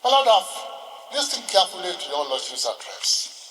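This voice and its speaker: Nigerian guy doing a formal courtroom speech, highish voice, deliberate and emphatic, far from the mic in a echoey hall. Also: big pitch swings, pushed and bright. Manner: oratorical, emphatic, deliberate phrasing with rhetorical repetition. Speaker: senior lawyer addressing a court. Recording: distant mic, long hall reverb.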